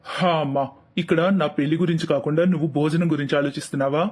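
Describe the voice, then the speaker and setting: Man playing an earnest young husband, higher voice. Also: steady tone, fast talker, darkish tone, close to an everyday voice. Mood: casual. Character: young working husband and son, earnest, easily worried.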